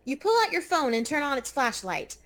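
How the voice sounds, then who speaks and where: A woman's voice: high-pitched, very animated, a little fast, fairly bright tone. A woman reading scripted second-person narration for a story game. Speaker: narrator and player character reader. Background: music.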